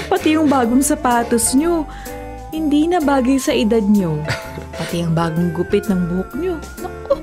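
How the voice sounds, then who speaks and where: High-pitched nagging daughter, music behind. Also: female voice, expressive, measured pace. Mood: chiding. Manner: chiding, exasperated. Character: nagging, disapproving grown daughter scolding her father. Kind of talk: Filipino radio drama acting.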